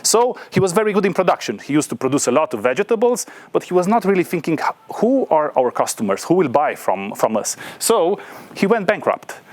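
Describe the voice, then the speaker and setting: A man in his thirties from Romania, with a Romanian accent, gives an informal talk in a hesitant way with many pauses and fillers. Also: on the high side, very animated, no room sound. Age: 30s. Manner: hesitant, filler-heavy delivery with frequent pauses. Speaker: guest speaker, entrepreneur.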